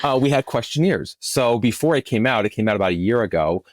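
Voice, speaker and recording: A man's voice: medium pitch, expressive, quick pace, no echo. A man speaking as a producer and guest in an interview, explaining conversationally and often restarting his phrases.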